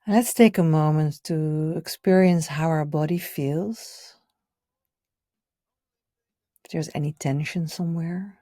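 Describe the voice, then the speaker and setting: A woman leading a guided meditation in a gentle, soothing, unhurried way, her soft voice often trailing off toward a whisper. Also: deep voice, no echo, clean studio sound. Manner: soothing, gentle, unhurried, with audible sighs and breathy pauses. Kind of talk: guided meditation, live session.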